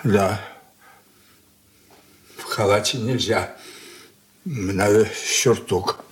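Radio drama: weary old merchant father, very slow, halting, resigned. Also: lowish voice, a little hiss or hum. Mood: distracted, halting. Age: older. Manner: halting, resigned, short broken phrases. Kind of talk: radio play acting.

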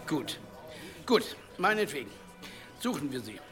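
A man's voice: a little high, very animated, unhurried, music in the background. An actor playing a stern, commanding middle-aged village headman who speaks with authority in an audio drama. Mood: indignant, commanding. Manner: interrogating, authoritative. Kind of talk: German audio drama acting.

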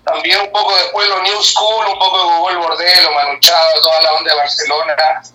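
A man from Chile, a band member answering interview questions in a relaxed, hesitant way with drawn-out pauses. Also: on the high side, echoey room, a crowd in the background, rough recording.

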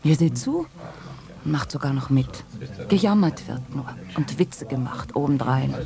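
An actress playing an upset, outspoken young granddaughter in a radio play, emotional and accusing. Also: female voice, a bass voice, animated, measured pace, vocal fry, a crowd in the background, full character voice. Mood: indignant. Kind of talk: German radio play acting.

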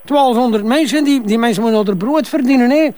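An actor playing a defensive older Flemish father and company boss in a crime drama, halting, stammering and repeating himself. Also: very high-pitched, darkish tone. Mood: agitated, defensive. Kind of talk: naturalistic TV crime drama dialogue.

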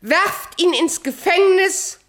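A woman's voice: extremely high pitch, slow pace, fairly bright tone, heavily acted. An actress playing a vain, cruel fairy-tale queen in a radio play, with a haughty, commanding, theatrical delivery. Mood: stern, scornful. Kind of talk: German radio play acting.

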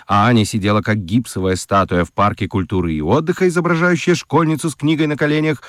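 Casting: male narrator for children's drama, deep, dry studio sound, deliberate and wry. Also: no room sound. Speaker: narrator of a children's radio play. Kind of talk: expressive scripted storytelling. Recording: dry studio with music underneath. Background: music.

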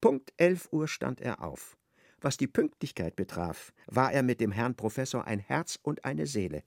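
An observant literary narrator in a radio play. His mid-pitched voice has a creaky grain and moves expressively up and down. Every phrase is articulate and measured, recorded very dry. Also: very animated, a little mellow, no room sound. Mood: precise, wry. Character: precise, observant third-person male narrator of a novel.

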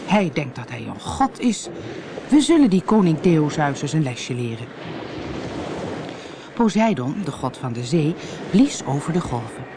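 A storyteller reading a scripted children's fairy tale in an expressive, dramatic way. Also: mid-range voice, noisy background. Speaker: storyteller, audiobook narrator.

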